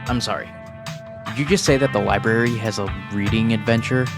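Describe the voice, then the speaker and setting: Guy voicing polite young newcomer in a visual novel, lowish voice w fry, music playing behind. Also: brisk, natural voice. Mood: polite, curious. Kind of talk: fan voice acting for a visual novel.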